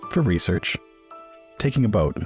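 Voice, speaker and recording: A man playing a restless, reticent scholar with an adventurous streak, speaking haltingly in improvised roleplay over background music. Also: on the low side, sing-song. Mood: thoughtful. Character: James, restless adventurous young scholar, reticent about leaving. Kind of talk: improvised in-character roleplay dialogue.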